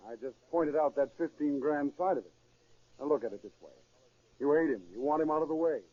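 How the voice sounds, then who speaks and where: An actor playing a tough, determined, persuasive police sergeant with slangy speech in a 1930s radio crime drama. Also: male voice, fairly high pitch, close to an everyday voice. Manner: fast, slangy, insistent. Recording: thin, tinny 1930s radio recording.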